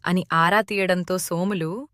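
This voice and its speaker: A woman's voice: lowish voice, fairly even intonation, unhurried, fairly bright tone, dry recording, studio quality. A woman narrating a children's moral tale as a scripted storyteller.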